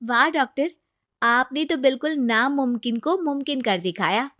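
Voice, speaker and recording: A woman voicing a brisk, respectful young hospital nurse in a narrated story. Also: high voice, a bit bright, pitched above the performer's own voice.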